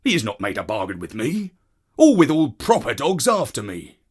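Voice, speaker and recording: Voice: medium pitch, huge pitch swings, moderate pace, darkish tone, breathy edge. A storyteller voicing a stern, protective dog in a children's tale, with a firm, warning delivery. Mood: threatening.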